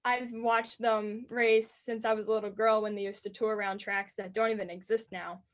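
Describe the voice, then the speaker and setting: An eighteen-year-old woman with a high-pitched voice, earnestly answering interview questions about racing announcing. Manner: earnest and enthusiastic, quick bursts.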